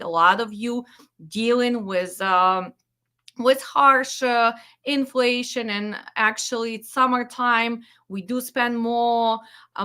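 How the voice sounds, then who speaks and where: Ukrainian-accented woman, dispatch trainer; firm, direct, unhurried delivery. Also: a little high, pushed and bright. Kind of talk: promotional webinar announcement.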